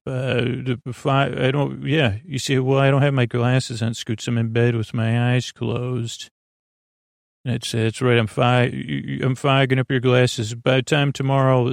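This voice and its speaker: A man with a creaky, lulling voice hosting a sleep podcast, meandering through halting, tangential bedtime talk. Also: medium pitch, no room sound, studio quality. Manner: meandering, tangential, halting, self-deprecating nonsense. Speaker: podcast host. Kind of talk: rambling bedtime monologue to help listeners sleep.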